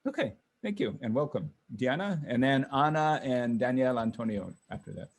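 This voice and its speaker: A man with an ordinary mid-range voice leads a video call, calling names in a relaxed, casual way. He fills gaps with ums and short okays. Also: medium pitch, moderate pace. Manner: relaxed, friendly, lots of fillers. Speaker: host of online class session.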